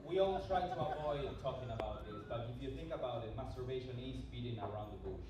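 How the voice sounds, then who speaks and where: A man from Argentina doing open mic stand-up in a bar, halting and self-deprecating, muttering asides between jokes. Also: medium pitch. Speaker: stand-up comedian. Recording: echoey bar from a distance, loud room noise.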